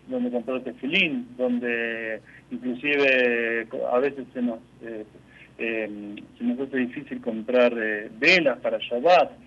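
A man's voice: mid-range voice, lo-fi sound. A man with an Argentine accent, a community spiritual leader answering questions in a radio interview, hesitant, with many fillers and restarts. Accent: Argentine Spanish.

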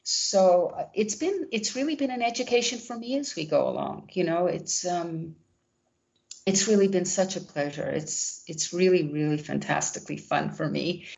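A woman who founded a literary journal answering interview questions, talking gently with many restarts. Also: fairly low pitch, moderate pace, vocal fry, muffled recording.

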